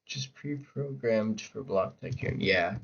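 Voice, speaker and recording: A man streaming a game in casual chat who rambles with fillers and restarts, his voice hoarse from talking. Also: lowish voice, slow, a bit bright. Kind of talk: casual live-stream chat while playing. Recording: dull lo-fi mic with faint keyboard typing.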